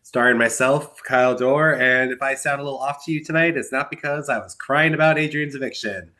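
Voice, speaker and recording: A young man with a stuffy, congested voice from a cold hosts his own podcast. He rambles through his commentary and breaks into exasperated asides, his voice sitting at an ordinary middle pitch. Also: a little fast, bright, forceful tone. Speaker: podcast host. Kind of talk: solo recap commentary.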